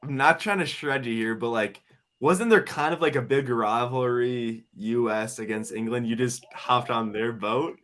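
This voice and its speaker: A man's voice: medium pitch, normal speed, fairly bright tone. A male host asking interview questions in a laid-back, teasing way. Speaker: podcast host.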